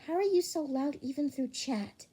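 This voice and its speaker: A woman voicing a shy, soft-hearted teenage boy in an audiobook, meek and earnest. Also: high voice, normal speed, voice pushed up, close to an everyday voice. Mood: timid.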